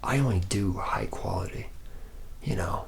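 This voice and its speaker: A man's voice: on the low side, measured pace, gravelly. A man playing a jaded, boastful lead robot mechanic in a rambling, drawling ASMR roleplay monologue. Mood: cocky. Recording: noisy close recording with clinking metal.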